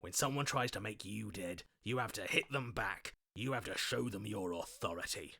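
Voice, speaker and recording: An actor playing a stubborn, combative fighter's inner voice in a video game, gravelly and forceful. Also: male voice, on the low side, moderate pace, exaggerated voice. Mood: defiant, combative. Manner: forceful, pugnacious. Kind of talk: video game character voice acting.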